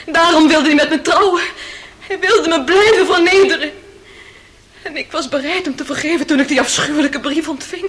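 A woman's voice: high-pitched, normal speed, fairly bright tone. A woman playing a proud, wounded young woman testifying bitterly and emotionally in a radio drama. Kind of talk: theatrical radio drama acting. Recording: old radio play with faint noise.

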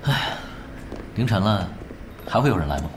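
An actor playing a calm, knowing young veteran gamer in a Chinese radio drama, talking in an unhurried, wry way. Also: male voice, fairly low pitch, lightly acted. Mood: relaxed.

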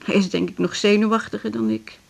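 A woman's voice: medium pitch, steady tone, a little fast, vocal fry, a little mellow. An actress playing a lonely, fretful female hospital patient in a radio drama, plaintive, hesitant and chatty. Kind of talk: 1980s Dutch radio drama acting.

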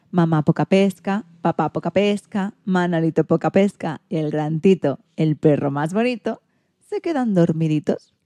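A woman reading a children's storybook in an expressive, theatrical way. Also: fairly low pitch, no echo. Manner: theatrical, acts out sound effects and exclamations.

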